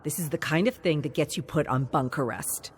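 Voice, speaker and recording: A woman narrator reading a scripted dramatic story in deliberate, suspenseful short phrases. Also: sits low, breathy edge, no echo.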